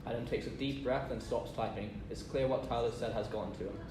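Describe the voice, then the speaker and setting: A man's voice: medium pitch, fairly even intonation, a little fast. A man narrating stage directions at a script table read. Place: echoey room, off-mic. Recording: echoey, noisy, distant microphone.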